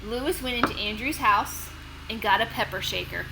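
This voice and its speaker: A woman narrating for children as an expressive read-aloud storyteller and host. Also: on the high side, moderate pace, noisy background. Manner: expressive, clearly addressed to children.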